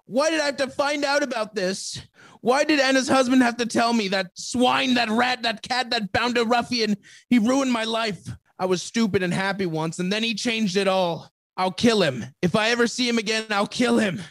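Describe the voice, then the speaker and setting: Male actor, melodramatic cuckolded husband, self-pitying, high voice, close dry sound, old-time radio comedy. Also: moderate pace, a bit bright, no echo. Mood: wounded, wailing. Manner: melodramatic, exclamatory.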